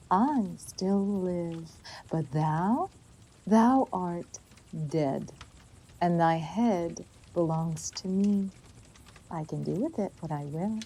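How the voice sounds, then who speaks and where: A woman's voice: mid-range voice, mellow, slightly rough. A woman playing an obsessive, lovestruck young princess, cruel and bereft, in a theatrical classical audio drama. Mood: gloating, bitter.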